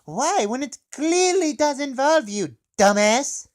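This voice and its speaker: A man voicing a brash, wisecracking counter-terrorist operator, teasing and excitable, in a comedy review. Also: extremely high pitch, slow, voice pushed up, exaggerated voice. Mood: smug, excited. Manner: loud, teasing, calls people comrade.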